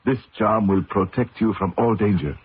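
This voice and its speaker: An actor playing an old, superstitious Cajun race mechanic in a radio drama, solemn, with clipped broken grammar and a Cajun accent. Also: male voice, on the low side, normal speed, lightly acted. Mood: solemn, protective. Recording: noisy, tinny old radio broadcast.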